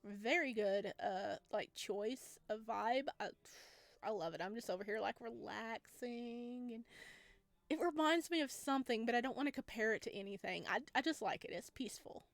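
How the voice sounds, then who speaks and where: A woman's voice: on the high side, animated, moderate pace, creaky, dry recording. A woman giving chatty, playful commentary on a playthrough, reading lines aloud as she goes. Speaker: game streamer. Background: game music.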